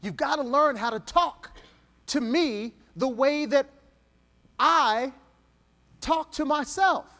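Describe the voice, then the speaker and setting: A man from Texas giving a motivational keynote speech to an audience, playful and joking, slowing down for emphatic lines. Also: very high voice. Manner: animated, dramatic pauses, slow emphatic dictation. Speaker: motivational speaker.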